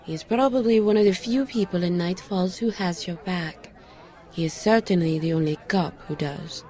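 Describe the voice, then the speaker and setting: An actress playing a petite, caring but sharp-tongued former lover in a noir audio drama, speaking earnestly and pointedly. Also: female voice, on the low side, moderate pace, a faint crowd, lightly acted. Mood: reproachful. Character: tiny, sharp-tongued, caring female old flame, a pixie.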